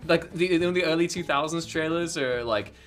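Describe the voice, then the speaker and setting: A man co-hosting a casual podcast, joking and rambling in animated riffs. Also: a little high, brisk, faint music behind. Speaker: podcast co-host.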